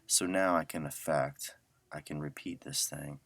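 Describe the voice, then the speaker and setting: A middle-aged man giving a casual audio software tutorial, talking informally and thinking aloud as he works. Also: very deep voice, steady tone, unhurried, dry recording. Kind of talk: casual screen-share tutorial.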